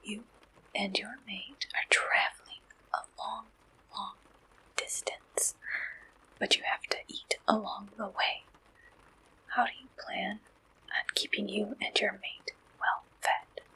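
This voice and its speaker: A woman whispering an ASMR roleplay as an attentive, businesslike dating service agent, asking questions softly and deliberately in a breathy voice. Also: mid-range voice, flat delivery, heavily acted, poor audio. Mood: gentle, attentive.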